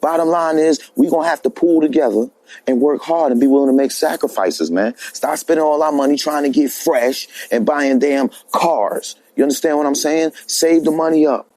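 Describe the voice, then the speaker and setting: A man's voice: fairly high pitch, moderate pace, a little mellow, no echo. A man calling in, emphatic and preachy, who says "man" often. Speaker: caller. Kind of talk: casual opinion rant.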